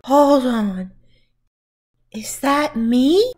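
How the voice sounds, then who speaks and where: Woman as a hesitant, high-voiced teenage girl. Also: expressive, drawn-out words, a little mellow, husky, soft background music, full character voice. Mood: confused, hesitant. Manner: hesitant, bewildered. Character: shy, unsure teenage girl in an animated film.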